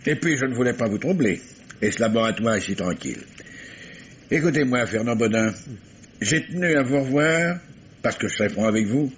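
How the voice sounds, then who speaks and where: An actor playing a dogged, polite but insinuating police commissioner who probes with stammering courtesy, in vintage French radio mystery style. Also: male voice, mid-range voice, lively intonation, a little fast, lightly acted. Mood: affable, persistent. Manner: hesitant stammering courtesy, probing. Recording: dull vintage radio recording, faint hiss.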